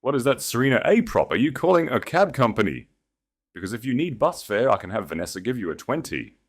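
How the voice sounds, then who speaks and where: A man's voice: mid-range voice, sing-song, a little fast, pitched above the performer's own voice. A man voicing an arrogant, vicious, status-obsessed husband in an audio drama, contemptuous and commanding. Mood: mocking.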